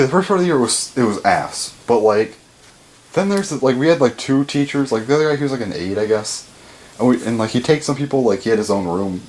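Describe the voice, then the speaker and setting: A seventeen-year-old young man rambling casually, with lots of fillers and the odd swear, his pitch jumping up when he stresses a word. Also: a little mellow. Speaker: vlogger.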